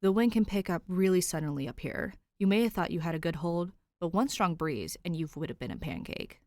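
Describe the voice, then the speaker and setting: A woman's voice: fairly low pitch, animated, brisk, darkish tone, dry recording, natural voice. Lady doing a tired stern hero guy for an audiobook, dry and exasperated, close dry sound. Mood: scolding. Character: exasperated young male masked vigilante, protective, weary. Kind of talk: audiobook character dialogue.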